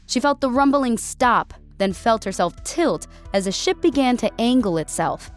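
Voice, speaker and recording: A woman reading scripted fiction as an audiobook narrator. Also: medium pitch. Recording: music bed under voice. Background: background music.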